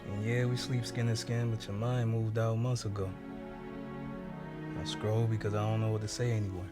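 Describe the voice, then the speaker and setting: Actor as a defensive, guilt-ridden boyfriend over music. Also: male voice, fairly low pitch, lightly acted. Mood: weary, lost. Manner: poetic, earnest, emotional delivery. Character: defensive, guilt-ridden young boyfriend, scared of failing at love. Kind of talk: dramatic spoken-word monologue. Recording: clear music playing behind.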